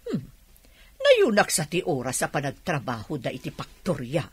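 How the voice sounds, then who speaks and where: A woman playing a sharp-tongued, grudging, argumentative figure in Ilocano radio drama, with a Filipino accent. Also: deep voice, wildly swooping pitch, normal speed, breathy edge, heavily acted.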